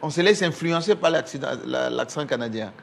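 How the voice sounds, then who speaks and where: A man's voice: a little high, lively intonation, brisk, vocal fry, a little hiss or hum. A man from Congo hosting a TV interview in a studio, talking hesitantly with many fillers and repeated words. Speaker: TV host.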